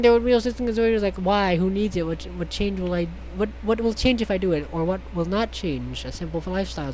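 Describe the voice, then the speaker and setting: Low-key game streamer with noisy background. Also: a little mellow. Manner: stumbles over read text, sarcastic asides, swears. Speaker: streamer reading a visual novel aloud. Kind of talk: casual playthrough, reading game text aloud with joking asides.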